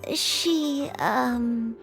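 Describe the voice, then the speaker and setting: High-voiced, polite young maid knight. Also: female voice, extremely slow, gravelly, music in the background, heavily acted. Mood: courteous, earnest. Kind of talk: video game character acting.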